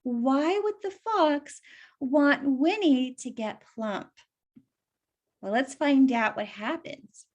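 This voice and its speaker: A woman's voice: high-pitched, lively intonation, takes it slowly, lo-fi sound. A woman reading a story aloud for children, explaining it simply and putting questions to young listeners.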